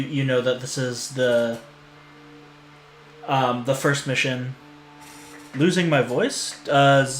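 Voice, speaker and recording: A man talking casually on a stream, hesitant and full of fillers and restarts. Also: mid-range voice. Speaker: lore streamer. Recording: echoey room with faint noise.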